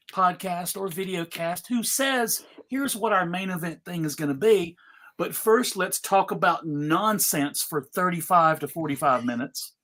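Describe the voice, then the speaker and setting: A man's voice: high voice, sharp tone. A man hosting a casual comedy panel online, with a wry, mock-formal emcee manner. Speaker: host of a live panel show.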